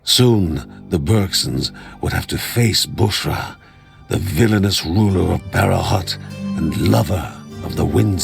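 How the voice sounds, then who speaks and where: A man playing a solemn storyteller, narrating a fantasy game like a storybook legend in measured, scripted phrases. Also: low-pitched, gravelly, exaggerated voice. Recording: music behind the voice. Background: music.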